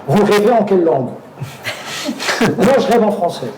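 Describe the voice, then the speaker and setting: A man's voice: sits high, expressive, normal speed. Male actor guest, hesitant anecdotal delivery, echoey live venue with faint noise. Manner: hesitant, many fillers and restarts, laughs while telling anecdotes. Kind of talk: live talk on stage, storytelling.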